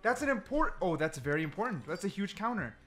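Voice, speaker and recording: A man's voice: high voice, very animated, fast, a bit bright, soft background music. A man giving casual gameplay commentary, explaining things and repeating points for emphasis. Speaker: gaming video creator.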